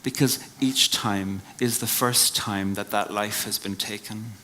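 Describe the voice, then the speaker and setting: An Irish man giving a reflective live talk and reading his poems, in a measured way that drops to a hush at the ends of lines. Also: on the low side, steady tone, a little hiss or hum, rough recording. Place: live venue before an audience.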